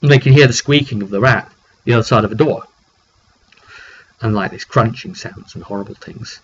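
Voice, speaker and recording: A man's voice: on the low side, a little fast, slightly rough. A man informally recapping a tabletop roleplaying session as game master, thinking aloud and repeating words as he goes. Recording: dull recording with faint background noise.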